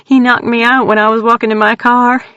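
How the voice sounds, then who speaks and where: A woman voicing a frightened, tearful young woman with an East Texas accent, talking in a high-strung, rapid way. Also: flat delivery, fairly bright tone, no echo, lightly acted. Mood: frantic. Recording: dull dry audio.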